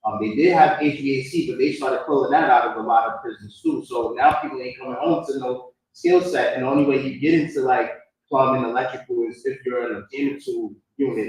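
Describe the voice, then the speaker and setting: A man's voice: mid-range voice, a little mellow. A man with a New York accent speaking candidly and informally in an unscripted panel talk, using street slang and repeating himself. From New York, United States. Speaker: panel speaker, former prisoner. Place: room at a live community event. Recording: echoey room, distant mic.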